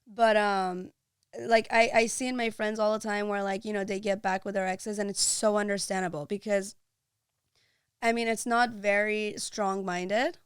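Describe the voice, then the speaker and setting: A woman's voice: mid-range voice, level tone, moderate pace, smooth, dry recording, studio quality. A woman hosting a podcast, talking earnestly and chattily, full of "like"s. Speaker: podcast host. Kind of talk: casual chat.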